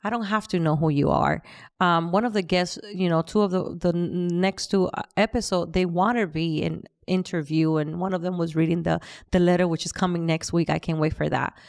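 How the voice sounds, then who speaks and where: A woman's voice: on the low side, steady tone, a little fast, gravelly, darkish tone. A woman hosting a podcast, speaking earnestly about faith and sharing stories, with frequent restarts. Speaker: podcast host. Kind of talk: casual podcast chat.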